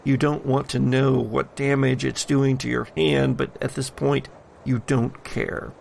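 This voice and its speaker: A man acting as game master, narrating a tabletop horror game with deliberate dramatic pauses. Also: mid-range voice, flat delivery, gravelly, a little hiss or hum.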